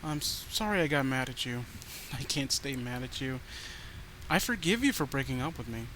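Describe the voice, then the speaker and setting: A man's voice: mid-range voice, expressive, normal speed, lightly acted. A man voicing an unhinged, possessive girlfriend who is volatile, pleading and cloying, in a horror story reading. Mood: sweetly possessive. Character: unhinged clingy murderous girlfriend, possessive, swinging from rage to sweetness. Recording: noticeable background noise.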